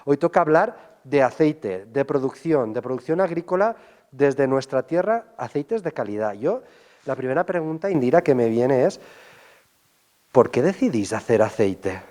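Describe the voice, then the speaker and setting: A man's voice: medium pitch, big pitch swings, moderate pace, dark tone. A man conducting a conversational on-location television interview, speaking in an effusive, complimentary way.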